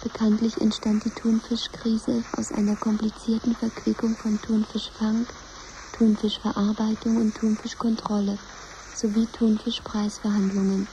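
An actress playing the official computer voice of a command centre in a 1970s radio drama, formal, measured and ceremonious. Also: female voice, medium pitch, completely flat delivery, mellow, slight background noise, natural voice, rough recording. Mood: solemn, official.

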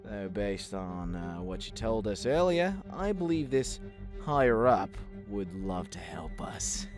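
A man's voice: on the low side, very animated, slow. A man voicing a chatty, slightly smug killer robot in a comic dub, with theatrical, scheming politeness. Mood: smug, explanatory. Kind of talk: fan comic dub acting. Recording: music behind the voice.

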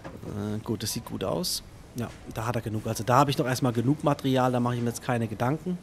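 A man's voice: mid-range voice, quick pace, creaky, slight background noise. A man giving casual let's play commentary, thinking aloud and chatting to himself and his viewers.

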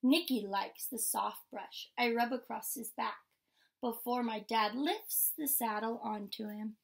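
A young adult woman reading a picture book aloud like a teacher, in a measured, instructional way. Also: a little high, gravelly. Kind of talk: picture-book read-aloud with short explanations. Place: indoor room with painted mural wall.

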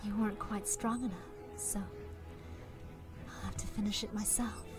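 A woman's voice: mid-range voice, steady tone, moderate pace. A woman dubbing a guarded, steely female spy with buried tenderness in an amateur dramatic film fandub. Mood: taunting, fierce. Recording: music behind the dubbed voice.

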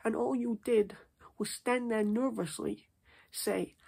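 A young man voicing a stern, scolding New Jersey mob boss in a hushed, husky ASMR roleplay. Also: very high voice, takes it slowly, exaggerated voice. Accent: put-on New Jersey mobster. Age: 20s. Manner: repetitive, slangy, stern with checking tags. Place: small domestic room, indoors.

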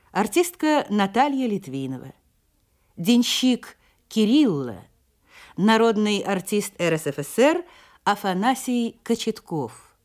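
A woman announcer formally reading scripted radio drama credits in a deliberate manner. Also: mid-range voice, darkish tone.

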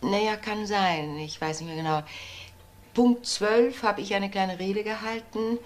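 A woman playing a composed older company matriarch in a crime radio drama, measured and hesitant. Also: medium pitch, steady tone, close to an everyday voice. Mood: reflective.

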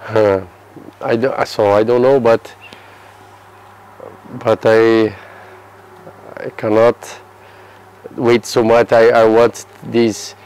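A man in his thirties from Brazil, answering interview questions in halting English with a Brazilian Portuguese accent and many restarts. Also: medium pitch, flat delivery, a little mellow, clear, resonant tone, low-quality recording. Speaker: football player. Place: outdoor terrace by a yellow building.

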